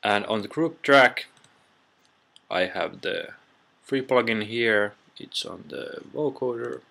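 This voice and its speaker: A man presents a casual software tutorial in a low, unhurried voice with a bright, hard edge. He goes step by step and halts often. The sound comes from a built-in computer microphone. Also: vocal fry. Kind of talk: informal software tutorial narration.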